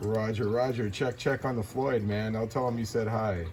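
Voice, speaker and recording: A man's voice: fairly low pitch, steady tone, moderate pace. A man talking casually as a radio operator, with clipped repeated call-outs, operator jargon and laid-back slangy address. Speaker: amateur CB radio operator and YouTuber. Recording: loud radio static and hiss.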